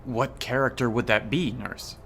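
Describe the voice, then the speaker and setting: Radio horror: male actor as obsessive, argumentative scholar of eerie legends, creaky and intense. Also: medium pitch, fairly even intonation, moderate pace, fairly bright tone, a little hiss or hum, close to an everyday voice. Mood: dazed, guarded. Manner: dramatic, argumentative, insistent. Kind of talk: radio horror drama acting.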